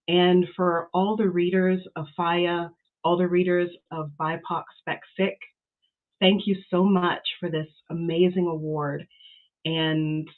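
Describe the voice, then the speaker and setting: Woman giving thanks, band-limited dull audio. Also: fairly low pitch. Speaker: author accepting an award. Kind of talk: award acceptance speech.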